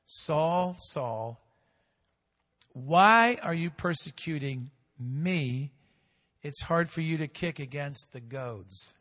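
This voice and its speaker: A man preaching a sermon in a voice a little higher than most men's. He leads the congregation in call-and-response, rising loud and then dropping to a hush, and stretches out his key phrases. The sound rings with the long reverb of a big hall. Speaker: pastor.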